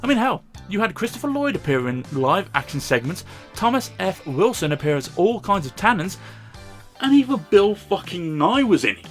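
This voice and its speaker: British male review host, higher-pitched, very lively intonation, informal, blunt and sardonic. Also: normal speed, faint music behind. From Britain. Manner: opinionated, sardonic, sweary. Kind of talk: informal scripted video review commentary.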